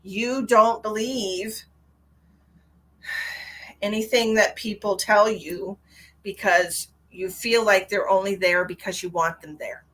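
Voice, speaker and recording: A woman, congested, chatting warmly with viewers during a live tarot reading. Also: medium pitch, steady tone, slow pace, long pauses, poor audio. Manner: chatty and affectionate, addresses viewers directly. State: stuffy, congested. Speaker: psychic tarot reader.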